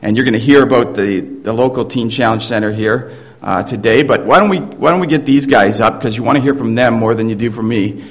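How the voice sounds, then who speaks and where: A man's voice: medium pitch, fairly quick, hardly any pauses. A man speaking casually to a church congregation, introducing a group in a loose, chatty way with fillers and restarts. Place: church service.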